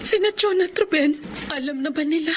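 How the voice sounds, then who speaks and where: A woman's voice: high-pitched, fairly quick, slight background noise, natural voice. An actress playing a frail, remorseful middle-aged mother who pleads in a radio drama. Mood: remorseful, pleading. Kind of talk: radio drama acting in Tagalog.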